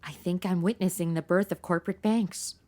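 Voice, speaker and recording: A woman's voice: on the low side, steady tone, brisk, darkish tone, close to an everyday voice. A woman playing a sassy modern young woman in a comedy audio drama, sarcastic, blunt and exasperated. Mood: incredulous. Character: sassy modern young woman stuck in old radio westerns.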